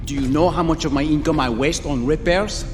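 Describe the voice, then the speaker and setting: An actor playing a haughty, sarcastic, indignant contract pilot in a video game. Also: male voice, a little high, brisk, in a large hall, music in the background, lightly acted. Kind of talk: video game cutscene acting.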